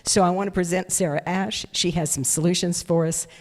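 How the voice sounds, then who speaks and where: A woman's voice: lowish voice, steady tone, a little fast, darkish tone. An older woman speaking at a club meeting, telling her personal story casually and introducing a guest speaker. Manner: chatty storyteller, laughs at her own story. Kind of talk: casual talk with personal anecdotes. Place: indoor meeting room. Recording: long reverberant room sound.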